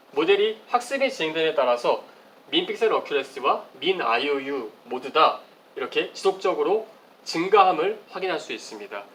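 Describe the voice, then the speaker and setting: Guy doing a formal conference research talk, explaining slides, pretty animated pitch, some background noise. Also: rough recording. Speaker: academic conference presenter. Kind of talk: prepared research presentation with slides. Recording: clearly audible background noise.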